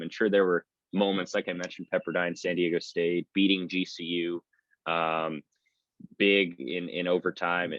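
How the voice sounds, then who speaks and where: A low-voiced man talks sports in a conversational, discursive way, like an announcer being interviewed. The sound is a bit dull and lo-fi. He goes on at length, rambling from point to point. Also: a bit bright, no room sound. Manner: talkative, discursive.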